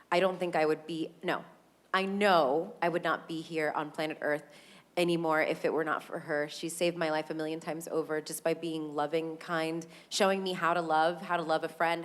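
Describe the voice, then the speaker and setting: A woman in the cast speaking on a panel, earnest and reflective, with some hesitations. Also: low voice. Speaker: Broadway cast member. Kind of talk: panel talkback.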